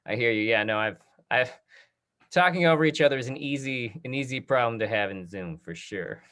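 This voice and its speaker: A man's voice: medium pitch, lively intonation, brisk, a bit bright. A man hosting a casual interview on a video call, affirming and summing up what he hears. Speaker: host of a talk back discussion.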